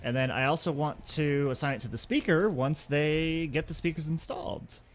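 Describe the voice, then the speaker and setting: A man's voice: on the high side, normal speed, slight background noise. A man gives casual let's-play commentary as a gaming host, thinking aloud and talking through each step.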